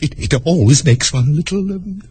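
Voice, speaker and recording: A man voicing a flustered, scatterbrained old queen in a storybook reading, high and quavering, stammering and drawn out. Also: lively intonation, a little mellow, slightly rough. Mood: dithering. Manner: drawn-out dithering, stammering, bursting into squeals.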